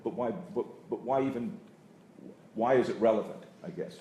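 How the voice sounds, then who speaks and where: Echoey off-mic room, guy reporter, lowish mellow voice, keeps rewording his question. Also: normal speed, slight background noise. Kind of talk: press questioning. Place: indoor room at a press briefing.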